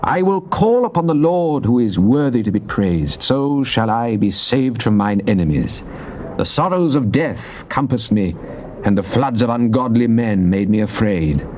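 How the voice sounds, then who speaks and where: An actor playing a devout, earnest psalmist, reciting scripture in a solemn, measured, declamatory theatrical style. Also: male voice, mid-range voice, animated, a little mellow, lightly acted. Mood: fervent. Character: devout psalmist, earnest man praying to God. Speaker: dramatized scripture reader. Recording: dull noisy cassette tape transfer.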